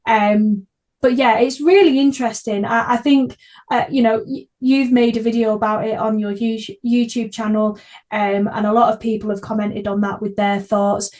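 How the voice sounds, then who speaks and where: Female podcast host, higher-pitched voice, casual and chatty, openly effusive. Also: fairly even intonation, normal speed, darkish tone.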